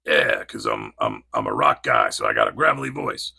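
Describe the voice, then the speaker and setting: A man voicing a male rock warrior action figure with a deep, gravelly, rumbling voice. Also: wildly swooping pitch, normal speed, pushed and bright, no echo, clean studio sound, heavily acted. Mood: gruff.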